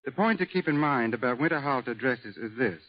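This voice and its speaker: Guy guesting on an old radio show, measured and matter of fact, muffled dull sound. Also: medium pitch, lively intonation. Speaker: guest expert. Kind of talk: scripted radio interview.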